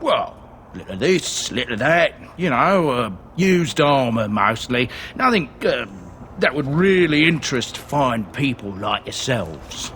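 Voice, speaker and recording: An actor playing a nervous, evasive armor merchant in a video game, speaking hesitantly. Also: male voice, mid-range voice, very animated, a little slow, a bit bright, a little hiss or hum. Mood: evasive, uneasy.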